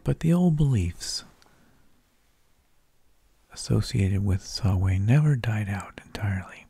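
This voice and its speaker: A man narrating in a soft, breathy ASMR whisper, with slow, deliberate phrasing, close to the microphone. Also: lowish voice, expressive, dry recording. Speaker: ASMR host.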